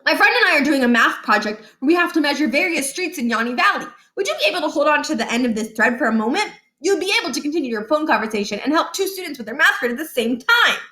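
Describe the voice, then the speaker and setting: Woman as earnest, high-voiced scheming schoolboy. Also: expressive, fast talker, few pauses, bright, forceful tone, reverberant. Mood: mock-polite. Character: Niles, earnest, scheming, over-thinking schoolboy prankster.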